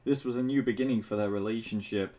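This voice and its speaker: A man's voice: fairly low pitch, steady tone, fast talker, warm tone. A man reading fan fiction aloud for comedy, amused and crude, stumbling a little and dropping in asides. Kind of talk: comic read-aloud with asides.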